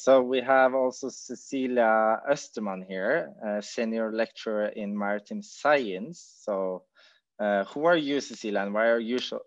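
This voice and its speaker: Low-voiced man moderating a call. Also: no room sound. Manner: friendly, with fillers. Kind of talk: online panel moderating. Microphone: headset on video call. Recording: dull lo-fi call audio.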